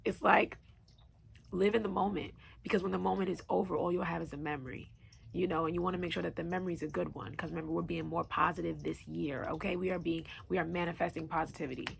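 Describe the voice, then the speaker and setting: A young woman from Long Island with a New York accent, a podcast host with a deep, raspy voice, talking to her listeners in an earnest, motivational way. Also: fairly even intonation, fast talker, slight background noise. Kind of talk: casual solo podcast talk.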